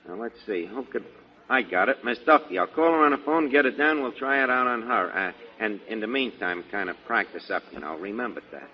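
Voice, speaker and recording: A man plays a brash, wisecracking schemer from a 1940s radio comedy, full of slang. His delivery is lively and varied in pitch, on a dull vintage broadcast recording. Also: a little fast, natural voice. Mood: bossy, scheming.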